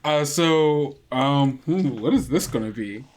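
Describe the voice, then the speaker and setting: Game master narrating haltingly, thinking aloud. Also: medium pitch, slight background noise. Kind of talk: improvised tabletop game narration.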